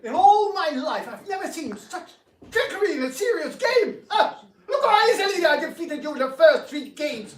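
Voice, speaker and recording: A man's voice: very high-pitched, very animated, normal speed, voice pushed up. A man playing an arrogant, hot-tempered prince in a dramatic reading, taunting and theatrically indignant. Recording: roomy, distant live recording, faint noise.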